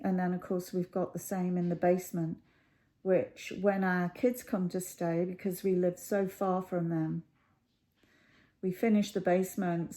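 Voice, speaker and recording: A middle-aged woman with a croaky voice, chatting casually to camera at home, rambling with many ums and pauses. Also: on the low side, dark tone. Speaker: cross stitch YouTuber.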